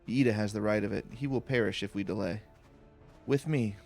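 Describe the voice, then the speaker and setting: Guy doing a serious low-voiced warrior from a fantasy game, formal and steady, music behind. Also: creaky. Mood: grave, urgent. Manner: measured, formal, archaic phrasing.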